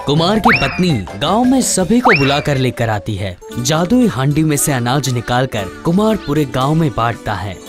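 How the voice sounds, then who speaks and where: Animated storyteller over loud music. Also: medium pitch, normal speed. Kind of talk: scripted story narration.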